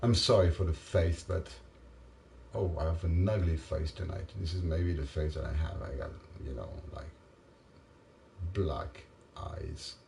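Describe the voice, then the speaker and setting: A man, tired after a late night, rambling haltingly to the camera in a self-mocking way. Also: creaky, darkish tone, a little hiss or hum. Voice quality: deep voice. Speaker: vlogger. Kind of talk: casual rambling monologue.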